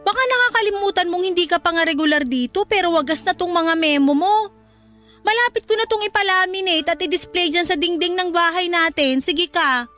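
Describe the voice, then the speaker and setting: A woman's voice: very high voice, brisk, pushed and bright, faint music behind. A woman playing a nagging, exasperated young wife and mother in a Filipino radio drama, scolding and sarcastic.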